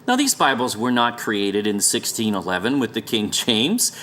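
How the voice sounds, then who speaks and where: A man's voice: mid-range voice, big pitch swings, moderate pace, fairly bright tone. A man presenting an explainer in an argumentative, sarcastic and emphatic conversational manner. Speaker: presenter of a documentary series. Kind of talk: argumentative explainer narration over maps.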